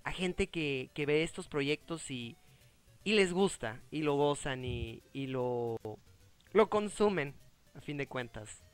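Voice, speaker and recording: Smooth-voiced Mexican woman hosting. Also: low-pitched, expressive, slow, dry recording, faint music behind. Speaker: host. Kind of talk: casual interview chat.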